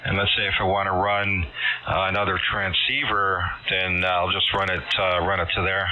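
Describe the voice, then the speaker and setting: A man chatting casually about technical matters over amateur radio, speaking in a halting, hesitant way through a shortwave transmission. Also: low-pitched, level tone. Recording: noisy narrow-band ham radio signal.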